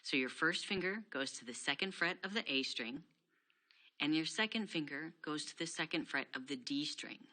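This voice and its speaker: A woman giving a scripted guitar lesson, explaining steps clearly and steadily. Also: deep voice, expressive, moderate pace, pushed and bright. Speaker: guitar instructor.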